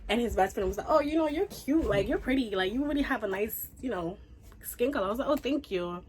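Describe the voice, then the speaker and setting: A young woman from Haiti chatting casually through a storytime, animated and full of fillers. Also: a little high, fast talker, a little hiss or hum, low-quality recording. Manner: chatty, animated, says "like" and "y'all" a lot. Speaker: YouTuber.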